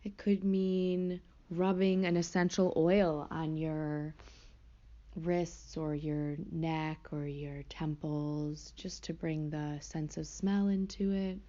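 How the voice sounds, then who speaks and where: A woman guiding a meditation in a soft, slow, gentle way. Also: deep voice, flat delivery, lo-fi sound. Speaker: meditation teacher. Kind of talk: guided meditation.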